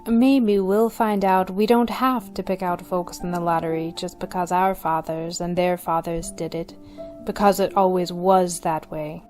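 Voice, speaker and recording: A woman narrating a radio drama in a solemn, deliberate manner. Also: fairly low pitch, fairly even intonation, a little mellow, faint music behind, natural voice.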